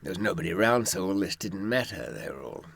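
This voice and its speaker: A man's voice: low voice, animated, fast talker, gravelly, a bit bright, breathy edge. An older man, telling anecdotes and giving advice from experience in a wry, droll raconteur's manner. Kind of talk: anecdotal storytelling to listeners.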